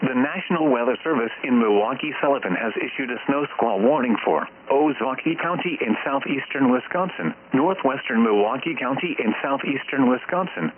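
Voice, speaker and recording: Phone-like radio sound, light noise, synthetic man, weather announcer, formal measured bulletin reading. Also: medium pitch. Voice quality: synthetic text-to-speech voice. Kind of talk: automated weather warning bulletin read.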